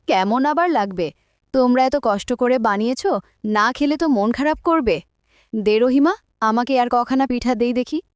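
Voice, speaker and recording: Woman as a high-voiced proud elder sister. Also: moderate pace, clear, resonant tone, no echo, clean studio sound, natural voice. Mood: emphatic, eager. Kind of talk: children's cartoon dialogue.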